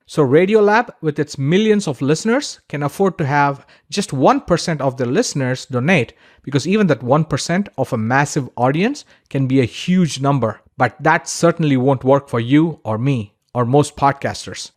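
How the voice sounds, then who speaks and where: Man narrating an instructional explainer. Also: mid-range voice. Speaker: online course instructor and podcaster.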